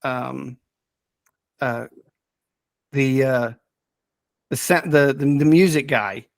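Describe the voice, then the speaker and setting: A man hosting a casual podcast, talking enthusiastically but haltingly as he searches for names and details. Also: mid-range voice, gravelly, dry recording. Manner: enthusiastic about the subject. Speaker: podcast host.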